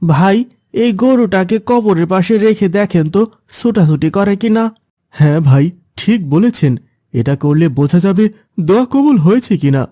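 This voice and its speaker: An earnest village man, voiced by a male actor in a cartoon moral tale. His soft, mellow voice moves widely in pitch as he pleads with concern, recorded dry. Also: animated, unhurried, no echo. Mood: alarmed, earnest. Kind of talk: cartoon dialogue.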